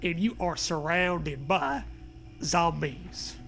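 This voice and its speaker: A man reading a comedy story aloud with deliberate, mock-dramatic narration and bits of banter. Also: a little high, animated, vocal fry, a bit bright, soft background music.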